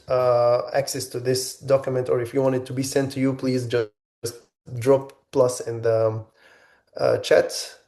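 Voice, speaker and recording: Egyptian-born guy hosting a webinar, mellow low-key tone, lots of um and restarts. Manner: halting, many restarts and fillers. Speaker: webinar host. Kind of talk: webinar presentation.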